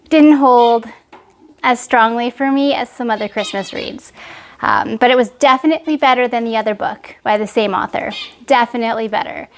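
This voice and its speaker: A woman talking casually to her viewers as a book vlogger, giving an informal review. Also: a little high.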